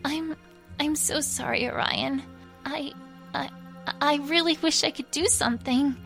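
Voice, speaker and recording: A woman voicing a gentle young pony princess in a fan audio drama, hesitant, apologetic and stammering. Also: high voice, fairly even intonation, unhurried, vocal fry, heavily acted. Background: music.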